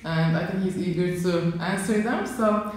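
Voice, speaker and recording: A woman's voice: lowish voice, normal speed, darkish tone. A woman interviewing a guest in an office, speaking hesitantly with many ums and "I mean"s. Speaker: interviewer, association coordinator. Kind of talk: conversational interview. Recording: very echoey office with faint noise.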